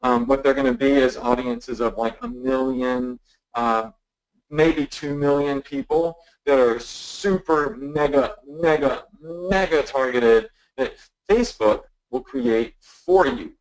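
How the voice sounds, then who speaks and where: Gravelly upbeat man hosting a webinar. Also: mid-range voice, lively intonation, slow pace, poor audio. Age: 30s. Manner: upbeat, chatty, many fillers and restarts. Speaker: online marketing trainer hosting a webinar. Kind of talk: casual live webinar teaching. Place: office at a stand-up desk. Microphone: desk mic on a live webinar.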